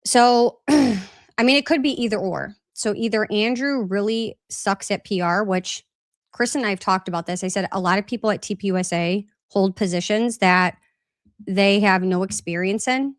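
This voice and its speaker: A woman hosts a live-stream chat, moving casually between her own thoughts and viewer comments in a loose, rambling way. She is a little congested and has a slight cough. Her voice sits at a medium pitch and is recorded dry, with little room sound. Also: normal speed, dry recording. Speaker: podcast host.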